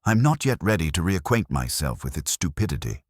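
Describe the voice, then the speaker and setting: Man voicing a pompous deep-voiced detective. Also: very animated, a little fast, no room sound, studio quality, full character voice. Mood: disdainful. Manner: pompous, formal, clipped pronouncements. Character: haughty, fastidious reclusive male detective, middle-aged. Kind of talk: audiobook detective fiction.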